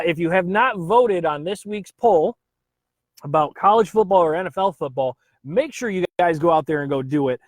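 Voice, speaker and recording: A man's voice: a little high, dry recording. Upbeat man hosting a stream. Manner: upbeat, greets viewers by name, chatty with filler uhs. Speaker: live stream host. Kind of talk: casual live stream chat.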